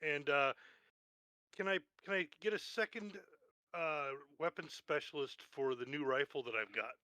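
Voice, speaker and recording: A man's voice: fairly high pitch, a little slow, pushed and bright, dry recording. A man chatting casually as a player in a tabletop roleplaying game.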